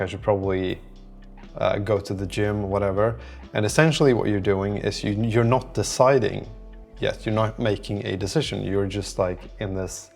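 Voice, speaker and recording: A man with a fairly low voice talks casually to his vlog audience as he works. He rambles reflectively, circling his thoughts and letting some trail off. Soft music plays faintly behind him. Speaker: app developer documenting his work on a vlog. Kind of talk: casual thinking-aloud vlog.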